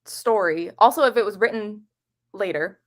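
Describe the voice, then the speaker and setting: A woman's voice: medium pitch, normal speed. A woman co-hosting a casual book chat, reasoning aloud in an informal, tentative way. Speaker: co-host of a book readalong live show.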